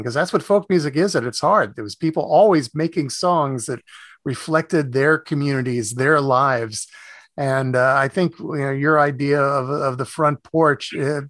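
A man hosts a conversational music interview in a fairly high voice. He thinks aloud and rewords his points as he goes. The sound is dry, with very little room in it. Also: fairly bright tone, dry recording. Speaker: radio host interviewing a guest.

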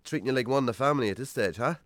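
An actor playing a defensive, hard-drinking Irish bachelor farmer in a naturalistic radio drama, colloquial and dryly sarcastic. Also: male voice, medium pitch, rapid-fire, fairly bright tone, no room sound, natural voice. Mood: bitter, sarcastic.

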